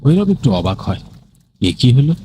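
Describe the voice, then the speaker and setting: A man's voice: mid-range voice, big pitch swings, normal speed, mellow, a little hiss or hum. A man narrating a horror cartoon story in a dramatic style.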